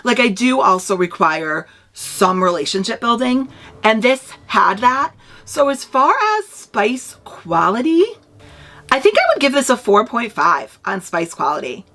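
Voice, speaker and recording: A woman's voice: fairly high pitch, big pitch swings, unhurried. Chatty tired woman book vlogger. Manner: chatty, lots of "like" fillers; hushed whisper early in the morning. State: tired, voice worn raspy. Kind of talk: casual read-along vlog chat. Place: home.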